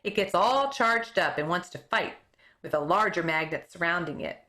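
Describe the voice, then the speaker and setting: A woman teacher reading a children's book aloud in an expressive way, now and then stumbling over a word and correcting herself. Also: on the low side, moderate pace.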